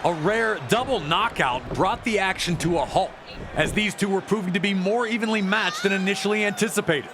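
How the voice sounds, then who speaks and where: A man's voice: high voice, fairly quick. A man narrating wrestling matches like a sports documentary, with emphatic, dramatic storytelling. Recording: loud crowd roar and arena noise. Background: roaring arena crowd.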